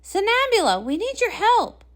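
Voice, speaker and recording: A woman's voice: very high-pitched, big pitch swings, moderate pace, pitched above the performer's own voice. A woman voicing an anxious, easily exasperated male pony prince with an urgent, dramatic manner in a comic read-along.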